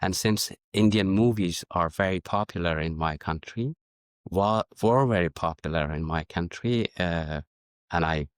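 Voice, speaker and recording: A middle-aged man from Afghanistan whose first language is Farsi, answering interview questions in a measured, reflective way and often restarting his sentences. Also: deep voice, smooth, no echo, clean studio sound. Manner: measured, searching for words with frequent restarts. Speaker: guest, diplomat and educator.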